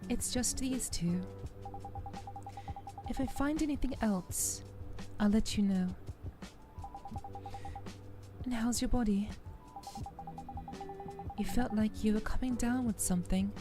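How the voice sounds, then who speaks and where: Woman acting a caring young woman, voice lifted high. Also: measured pace, long pauses, natural voice. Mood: concerned. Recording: music behind the voice.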